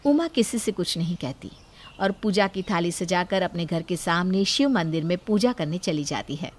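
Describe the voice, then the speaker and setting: A woman's voice: on the low side, lively intonation, normal speed. A woman storyteller reading a scripted moral story as narrator.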